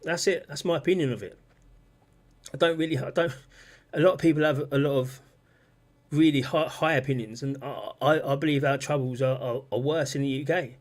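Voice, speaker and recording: A rambling man hosting a casual livestream, stumbling over words and circling back to his points. His voice sits a little higher than most men's. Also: steady tone, fairly quick. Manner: rambling, repeats himself, reads out chat comments. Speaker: livestream host. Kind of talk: casual political livestream talk.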